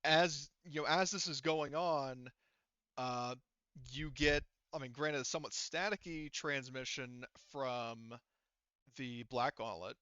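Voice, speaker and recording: Bright-voiced man as halting game master. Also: medium pitch, smooth, no room sound, muffled recording. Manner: halting, filler-heavy, informal. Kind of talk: narrating a tabletop roleplaying game, improvised.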